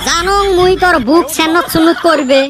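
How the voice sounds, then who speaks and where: A woman's voice: very high-pitched, a little fast, music in the background. A woman voicing a hesitant, wheedling college student in a comedy cartoon, with a Rajbongshi accent.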